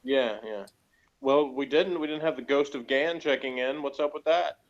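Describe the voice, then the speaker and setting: A man's voice: mid-range voice, moderate pace. Man, dull lo-fi sound. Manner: jokey, teasing. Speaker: podcast co-host. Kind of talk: casual joking banter.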